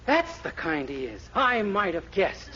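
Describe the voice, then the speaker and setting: A man's voice: sits high, big pitch swings, unhurried, pushed and bright, full character voice. A naive young man, jealous and easily wounded, puffing himself up with mock-formal indignation. The 1940s radio comedy recording is dull, with faint noise behind it. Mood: wounded, haughty.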